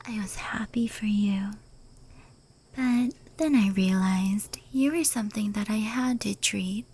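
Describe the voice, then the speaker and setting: Woman acting as a female fox spirit, ASMR roleplay, breathy whisper, slow pace, soft, intimate, earnest, affectionate, proud. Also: medium pitch, low-quality recording. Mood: reflective, earnest.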